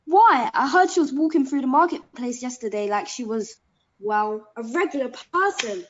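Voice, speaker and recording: An eager young gossip acted by a woman in a biblical audio play. Her high, animated voice is curious, naive and excitable. The recording is a little muffled. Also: normal speed. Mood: puzzled.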